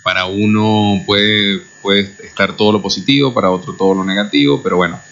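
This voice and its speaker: A man's voice: on the low side, steady tone, fairly quick, a bit bright. A man with a Venezuelan accent hosting a radio interview, speaking thoughtfully and hesitantly, with many fillers. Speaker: radio host. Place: radio studio. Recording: muffled lo-fi radio recording.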